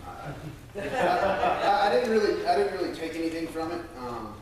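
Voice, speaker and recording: An American man speaking earnestly and a little haltingly to a congregation, as a church member sharing testimony and scripture. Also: fairly high pitch, sing-song, crowd noise. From United States. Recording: very echoey room, distant mic, faint noise.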